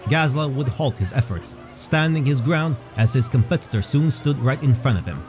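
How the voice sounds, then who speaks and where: A man reads scripted fantasy narration. His voice is mid-pitched, dark and mellow, and he describes things in a measured storyteller's way. Faint music plays behind him. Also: animated.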